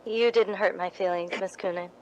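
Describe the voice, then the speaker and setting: A woman's voice: mid-range voice, steady tone, normal speed, close to an everyday voice, poor audio. A woman acting an earnest, humble young theater devotee in a 1950s-style radio drama, with a modest, hesitant way of speaking. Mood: shy, grateful. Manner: modest, hesitant, wistful storytelling.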